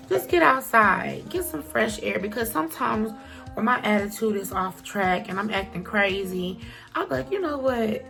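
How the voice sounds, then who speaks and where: A woman's voice: a little high, gravelly, pushed and bright. A woman talking casually to camera as a vlogger, chatty and encouraging, with a stuffy nose.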